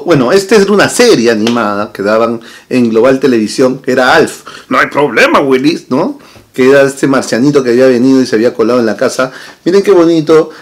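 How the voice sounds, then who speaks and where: A man, chatting casually to camera as a vlog host in a room full of toy shelves, lively and full of tag questions. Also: medium pitch. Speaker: channel host. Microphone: fixed camera at desk distance.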